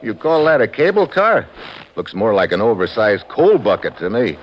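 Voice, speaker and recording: An actor playing a tough, wisecracking secret agent with an American accent in 1950s radio drama style, wry and slangy. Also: male voice, lowish voice, very animated, normal speed, highs cut off, a little hiss or hum. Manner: hard-boiled, wry, slangy. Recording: old radio recording with music behind.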